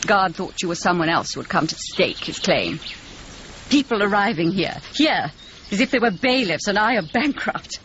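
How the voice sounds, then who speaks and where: A muffled radio drama recording with clear noise behind it carries a dignified noble patroness. She speaks gravely and formally, in measured, deliberate phrases. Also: mid-range voice, sing-song, fairly bright tone. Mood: regretful, formal. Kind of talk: naturalistic radio drama acting.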